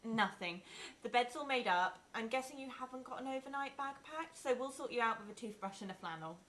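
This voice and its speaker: A woman's voice: a little high, fairly quick. A woman playing a dry-witted investigator and reading stage directions at a live sitcom table-read. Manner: dry, deadpan wit. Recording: live theatre with faint noise.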